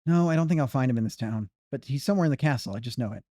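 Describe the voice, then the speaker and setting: A man's voice: medium pitch, animated, racing, mellow, dry recording, lightly acted. A man voicing an eager young male hero from a game, reading casually close to his own voice. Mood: determined.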